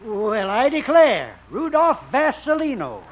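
A man's voice: very high-pitched, huge pitch swings, a little slow, exaggerated voice. An actor playing a teasing older man who mocks and cackles, in a 1950s radio comedy. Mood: mocking. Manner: cackling, mocking. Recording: muffled 1950s radio recording, heavy hiss.

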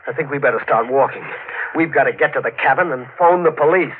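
A man's voice: mid-range voice, fast, natural voice. A man with an American accent playing an urgent, protective young bridegroom in a 1940s radio thriller, giving clipped commands and reassurances. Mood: tense, reassuring. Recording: tinny, noisy old radio broadcast.

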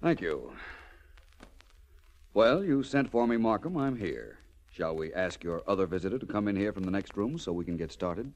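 A low-pitched, suave gentleman detective from a vintage radio mystery. His intonation swings freely, and he speaks with wry, precise self-assurance. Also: very animated, moderate pace. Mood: wry, assured. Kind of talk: 1940s radio detective drama acting. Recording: old 1940s radio transcription.

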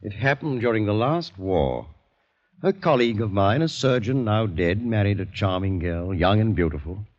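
A husky, worldly radio-drama confidant. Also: male voice, fairly low pitch, lively intonation, moderate pace. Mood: storytelling. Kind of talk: 1940s radio drama acting. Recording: muffled old radio broadcast recording.

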